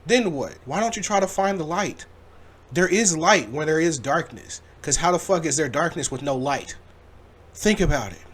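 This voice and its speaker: A man giving casual, profane reaction commentary in a blunt, animated way, swearing freely. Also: a little high, moderate pace. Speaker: reaction video host.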